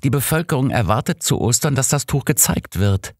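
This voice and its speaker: A man's voice: medium pitch, big pitch swings, fairly quick, no room sound, clean studio sound, pitched above the performer's own voice. A man voicing a distraught, devout cardinal in an audiobook, speaking formally with grave, pleading earnestness. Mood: distressed, pleading.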